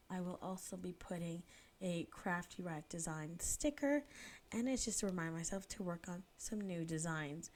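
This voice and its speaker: A woman in her thirties, a little sniffly from a cold, chatting casually and laughing now and then as she narrates. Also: fairly low pitch. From United Kingdom. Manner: chatty, laughs often, self-deprecating. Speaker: planner vlogger.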